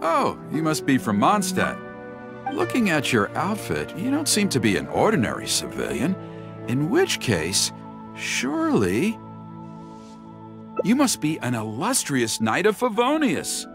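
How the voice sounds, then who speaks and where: Theatrical, wildly animated storyteller. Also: male voice, on the high side, a little slow, music in the background, exaggerated voice. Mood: courteous, eager. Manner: courteous, persuasive, flowery. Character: eager, theatrical, flattering male street storyteller. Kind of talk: video game character dialogue.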